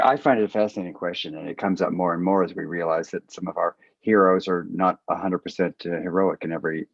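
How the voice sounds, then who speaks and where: Low-voiced male moderator in echoey room. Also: breathy edge. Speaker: panel moderator. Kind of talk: conversational panel moderating. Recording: echoey room, slightly dull sound.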